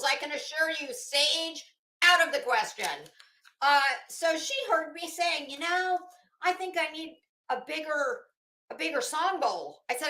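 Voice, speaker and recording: A woman's voice: high voice, pushed and bright, roomy sound. A sassy, salty-tongued woman chatting casually and theatrically as she hosts a rambling vlog. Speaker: YouTube host.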